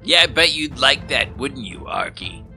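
Gravelly, animated man bantering at game night. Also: medium pitch, normal speed. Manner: teasing. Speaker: player in a tabletop game. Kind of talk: casual joking game banter. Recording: music behind the talk.

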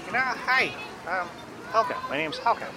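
A man voicing a chatty, forgetful elderly woman chaperone in a tabletop game, halting and rambling. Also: high voice, crowd noise, noisy background, voice pushed up. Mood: rambling, friendly.

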